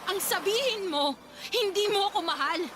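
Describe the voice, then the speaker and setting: A woman's voice: very high voice, lively intonation, moderate pace, background noise. An actress playing a young pregnant woman pleading emotionally with her lover in a radio drama. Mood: indignant. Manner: emotional, pleading, tearful at times. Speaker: voice actor.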